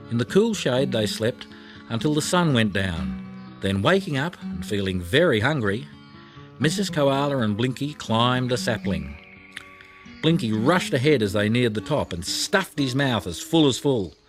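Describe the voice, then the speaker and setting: Male narrator for a children's audiobook, highly animated intonation, music underneath. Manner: expressive storytelling. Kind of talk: scripted children's story narration. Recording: music behind the voice.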